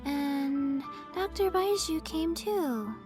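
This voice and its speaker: A woman voicing a little undead girl in a video game, earnest, childlike and halting, with a deadpan delivery. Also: high voice, music in the background. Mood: earnest, flat. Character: little zombie girl herb gatherer, flat and earnest.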